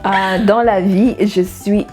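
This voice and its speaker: A woman in her thirties, from Gabon, talks to her viewers in a relaxed faith vlog. She is cheerful, laughs often and drops in an amen here and there. Her voice sits at an ordinary middle pitch, with faint music playing underneath. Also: takes it slowly. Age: 30s. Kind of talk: casual faith vlog self-introduction.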